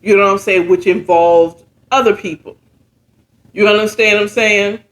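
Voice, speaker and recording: A woman informally retelling a story in a halting, conversational way, often checking in with her listeners. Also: mid-range voice, fairly even intonation, poor audio. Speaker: storyteller retelling a book chapter.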